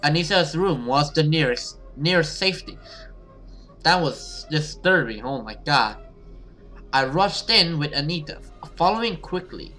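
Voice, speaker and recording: Bright-voiced horror game streamer reading aloud. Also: mid-range voice, expressive, unhurried, faint music behind. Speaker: gaming video host. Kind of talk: dramatic read-aloud and casual commentary of horror game.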